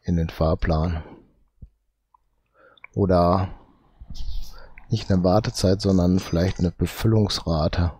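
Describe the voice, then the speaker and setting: Slow, low-voiced man with a cold. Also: steady tone, warm tone, breathy edge. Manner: muttering, drawn-out, tags lines with "ne?". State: has a cold. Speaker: let's play host. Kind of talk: slow, thinking-aloud gameplay commentary.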